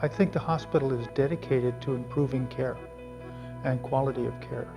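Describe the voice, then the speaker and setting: A man's voice: medium pitch, brisk, gravelly, music in the background. An older man, an emergency physician, speaking earnestly and reflectively in an interview. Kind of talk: interview testimony.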